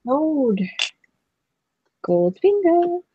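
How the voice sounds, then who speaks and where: A woman's voice: high-pitched, sing-song, very slow, narrow, tinny audio, slight background noise. A woman co-hosting a craft stream, chatting casually and teasing herself as she paints. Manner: chatty, giggly, self-teasing. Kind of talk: casual chat while painting.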